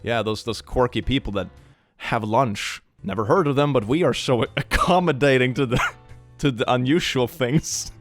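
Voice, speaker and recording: A man's voice: medium pitch, normal speed, soft background music. A man from Sweden doing comedic reaction commentary, reading posts aloud with mock drama and sarcastic, incredulous asides. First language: multilingual, not native English. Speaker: YouTube commentary host.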